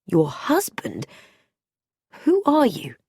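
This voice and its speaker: A woman's voice: high-pitched, huge pitch swings, slow pace, darkish tone, no room sound, clean studio sound, pitched above the performer's own voice, exaggerated voice. A woman voicing an earnest, protective young male amateur sleuth in a mystery story, with a direct manner. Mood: startled, questioning. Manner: direct, reassuring, questioning.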